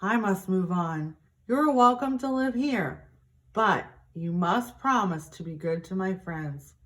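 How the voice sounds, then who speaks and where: A woman voicing a kindly, courteous hermit crab in a children's storybook, with gentle exclaiming politeness. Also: mid-range voice, expressive, slow pace. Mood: earnest. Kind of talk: storybook character reading.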